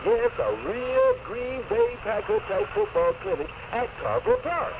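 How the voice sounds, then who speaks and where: An excitable male station announcer pitches promos in a high, wildly swooping voice. His delivery is emphatic seventies radio patter. It sounds muffled, like an old AM tape. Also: measured pace, creaky. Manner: exuberant, emphatic. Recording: dull noisy 1970s AM radio cassette.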